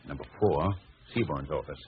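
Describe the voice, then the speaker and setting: An actor playing an urgent, self-assured gentleman detective with brisk authority in 1940s radio style. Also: extremely low pitch. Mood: brisk, focused. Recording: dull, noisy old radio recording.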